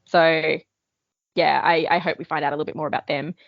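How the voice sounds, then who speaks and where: A woman's voice: low-pitched, fairly even intonation, fairly quick, gravelly, dry recording. A woman co-hosting a podcast, chatting casually and enthusiastically about books. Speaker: podcast co-host. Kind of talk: casual book discussion. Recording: dull, band-limited sound, dry.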